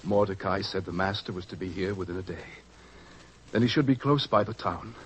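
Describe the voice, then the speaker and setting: A man's voice: sits low, brisk, a bit bright, rough recording. An actor playing an anxious, earnest father of a sick child in a 1940s radio drama, speaking urgently. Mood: worried. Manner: urgent, pleading. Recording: old radio recording with faint hiss.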